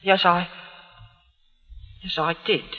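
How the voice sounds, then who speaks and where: An actor playing a guilt-ridden young man in an old-time radio drama, halting and stammering. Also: high-pitched, steady tone, muffled recording, faint music behind. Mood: uneasy. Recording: vintage radio recording.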